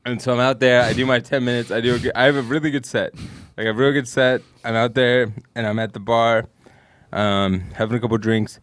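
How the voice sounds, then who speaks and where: A man in his forties tells a comic anecdote in a small podcast studio. He speaks on a handheld mic at an everyday middle pitch and acts out the other people's lines as he goes. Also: brisk, clear, resonant tone. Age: 40s. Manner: relaxed anecdote telling with quoted dialogue. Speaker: comedian podcast guest. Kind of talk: casual chat, storytelling.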